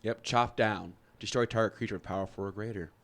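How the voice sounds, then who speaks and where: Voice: low-pitched, steady tone, quick pace, a bit bright. A podcast co-host in casual banter, talking bluntly with jokes and swearing.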